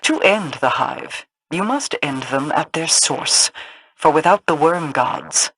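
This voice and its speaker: A woman playing a solemn, proud queen in a video game, speaking formally and imperiously in measured phrases. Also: sits low, expressive, fairly bright tone, slightly rough, no room sound, heavily acted, rough recording. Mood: commanding. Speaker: video game character voice actor. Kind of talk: scripted video game dialogue.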